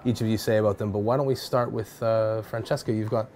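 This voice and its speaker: A man in his thirties hosting a wine talk in a cellar, speaking with enthusiasm and quick restarts. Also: lowish voice, fairly even intonation, a little mellow. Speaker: host. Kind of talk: casual chat.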